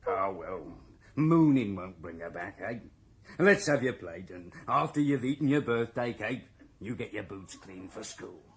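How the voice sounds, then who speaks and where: A man's voice: on the low side, expressive, unhurried. An actor playing a homely, plain-spoken working-class English father talking to his young son in a radio drama. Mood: jolly fatherly.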